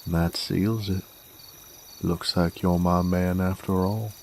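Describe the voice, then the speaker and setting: A man playing a sardonic, menacing werewolf bounty hunter in a Western audio drama, with a folksy American Western drawl and a gravelly, unhurried delivery. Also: deep voice, fairly even intonation, a little mellow, slight background noise. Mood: smug, controlled. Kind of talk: Western audio roleplay, intimate dramatic monologue. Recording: faint outdoor night ambience with crickets. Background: crickets and insects.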